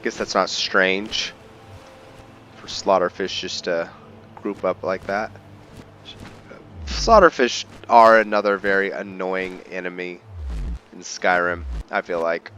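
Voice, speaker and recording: A man's voice: sits low, fairly even intonation, takes it slowly, pauses often, pushed and bright. A man giving casual, laid-back video game commentary, musing aloud to himself as he plays. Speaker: gamer narrating own video game playthrough. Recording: faint background noise.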